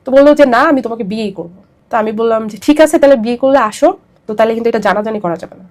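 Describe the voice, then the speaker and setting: A woman's voice: a little high, rapid-fire, a little mellow. A young woman with a Bangladeshi accent telling her personal story as an interview guest, emphatic and repetitive as she pours out her account.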